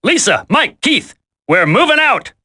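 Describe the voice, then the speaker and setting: A man's voice: very high voice, big pitch swings, a little slow, pushed and bright, heavily acted. A man voicing a brash, impatient squad leader in a video game, slangy, wisecracking and bossy. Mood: bossy, urgent.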